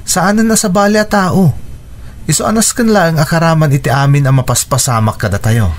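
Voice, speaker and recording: An actor playing a dutiful young adult son in a radio drama, speaking in a caring, reassuring manner. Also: male voice, on the high side, moderate pace, lightly acted. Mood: earnest reassurance. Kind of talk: Ilocano radio drama acting.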